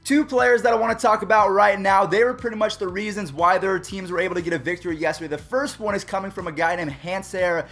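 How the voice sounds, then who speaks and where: A young man hosting a casual baseball recap to camera, upbeat and chatty, his voice slightly raspy. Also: sits high, fairly even intonation, quick pace, few pauses, fairly bright tone. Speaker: YouTube baseball recap host.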